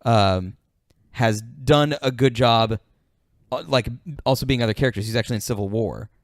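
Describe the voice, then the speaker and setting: A man's voice: mid-range voice, moderate pace, no echo, studio quality. A man chatting casually as a podcast host, reading out suggestions in a jokey, slangy way. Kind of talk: casual chat.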